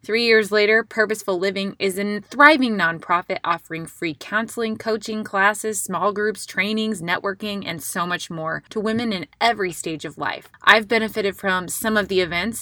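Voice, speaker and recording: A woman's voice: mid-range voice, pushed and bright, no room sound, clean studio sound. A woman hosting a podcast, chatty and encouraging as she introduces and interviews in a conversational style.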